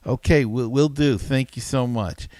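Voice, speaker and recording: Male podcast host, casual sports talk, conversational and slightly halting, mid-range voice. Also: medium pitch, mellow, dry recording.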